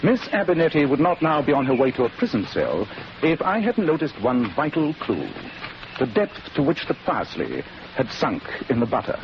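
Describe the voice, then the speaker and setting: An actor in a 1940s radio drama playing an assured, incisive detective with precise English diction and an authoritative manner. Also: male voice, mid-range voice, very animated, moderate pace, natural voice. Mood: reflective. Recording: muffled, noisy 1940s radio recording.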